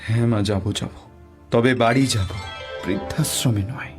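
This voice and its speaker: A man's voice: medium pitch, normal speed, over music, natural voice. A man playing a proud, brusque middle-aged father in a cartoon, speaking emphatically. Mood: remorseful. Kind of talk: Bengali cartoon voice acting.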